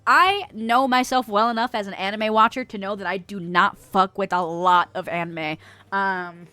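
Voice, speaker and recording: A woman's voice: medium pitch, normal speed, sharp tone, smooth, no echo. A young woman streamer chatting casually with her chat, bubbly and giggly, reading out viewer messages.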